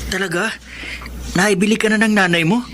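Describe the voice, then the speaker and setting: A woman's voice: medium pitch, steady tone, brisk, fairly bright tone, slight background noise, lightly acted. A woman voicing a polite, curious young boy student in a Filipino radio drama.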